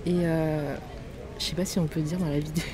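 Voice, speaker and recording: A young woman chats softly in a slightly low voice. She talks casually and hesitantly, often doubling back on her words. There is clear background chatter around her. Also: little pitch movement, brisk, poor audio. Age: 20s. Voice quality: soft, low-volume voice. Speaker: guest in a chat video. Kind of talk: soft-spoken casual chat.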